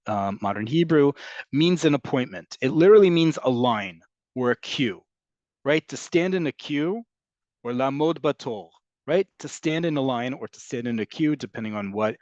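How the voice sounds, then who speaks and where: A man's voice: mid-range voice, dry recording, lo-fi sound. A man teaching Hebrew in an online class, patiently explaining and answering students' questions. English is his first language. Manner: patient, explanatory, repeats and rephrases.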